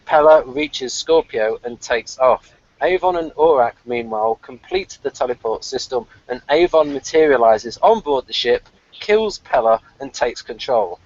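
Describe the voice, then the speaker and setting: A man's voice: medium pitch, animated, unhurried, a little mellow. A man co-hosting a review show, chatting casually and reading out episode summaries over a lo-fi online call. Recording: dull, lo-fi online call audio.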